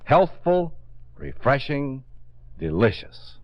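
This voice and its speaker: A man's voice: mid-range voice, big pitch swings, slow pace. An American man announcing in a polished, salesmanlike 1950s radio sponsor style, on an old recording. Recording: muffled old radio, faint music.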